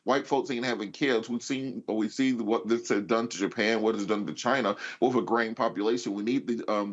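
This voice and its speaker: A man's voice: lowish voice, muffled recording. An American man giving assertive, off-the-cuff political commentary as a panelist. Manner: assertive, opinionated.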